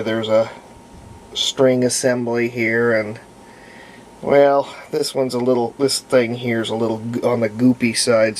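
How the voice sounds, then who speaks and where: A man's voice: medium pitch, slight background noise. A man with a folksy way of talking narrates hands-on repair work casually, thinking aloud. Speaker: radio restoration hobbyist.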